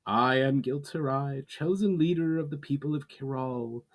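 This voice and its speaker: A man voicing a proud elderly orc woman, a calm clan leader who speaks slowly and formally in a storybook reading. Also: mid-range voice, voice pushed up, close to an everyday voice. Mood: solemn, formal.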